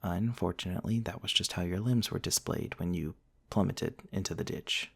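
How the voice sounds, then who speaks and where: A man playing a gentle, courtly, centuries-old vampire prince in a soft, intimate ASMR roleplay, tender and hesitant with old-fashioned politeness. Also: low-pitched, moderate pace, vocal fry, breathy edge, exaggerated voice. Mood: tender, shy.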